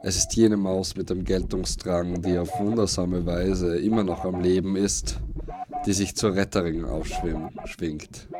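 Slow, low-voiced man narrating darkly. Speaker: narrator of an audio play. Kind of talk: slow dramatic scripted narration. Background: music and noise loops under the voice.

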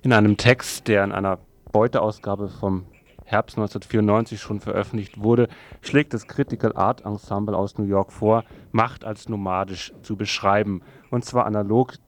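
A man presenting a semi-scripted radio introduction in an even, flat delivery. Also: lowish voice, normal speed.

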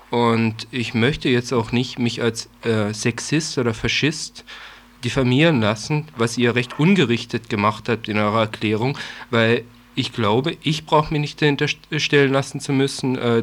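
A man speaking as a radio music editor in a spontaneous debate, arguing defensively and haltingly. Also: medium pitch.

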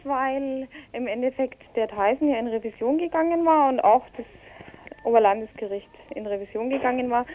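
Woman interviewee, dull lo-fi sound. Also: on the high side. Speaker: interview guest, activist. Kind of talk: spontaneous explanatory interview answers.

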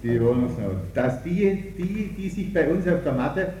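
A man speaking in a halting way, voicing a complaint in broken-off phrases. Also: a little high, lively intonation. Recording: roomy sound under loud music. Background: loud music.

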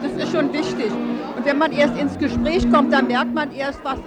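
Woman interviewee, high voice, hesitant chatty answers, loud crowd behind. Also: steady tone, moderate pace. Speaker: resident being interviewed. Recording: busy hall with crowd and music.

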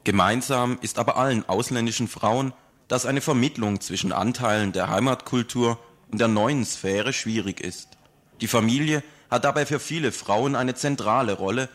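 A man reads a scripted radio report at a measured pace. He leans on key words with calm emphasis. His pitch sits in the middle range.

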